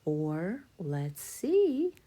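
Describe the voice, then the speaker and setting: A woman chimes in during a children's read-aloud with a mellow voice. She speaks slowly with very lively intonation. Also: on the low side, a little hiss or hum.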